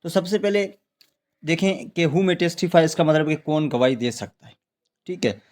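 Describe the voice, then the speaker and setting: A man's voice: on the high side, animated, measured pace, darkish tone. A man with a Pakistani accent lecturing on law for students, repeating and rephrasing points, asking questions and answering them himself, with frequent check-in tags. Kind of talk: explanatory exam-prep lecture in Urdu mixed with English legal terms.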